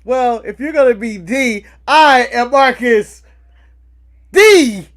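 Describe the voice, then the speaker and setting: A man's voice: very high voice, slow pace, fairly bright tone. An American man co-hosting a podcast, talking in casual live banter. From United States. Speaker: podcast co-host.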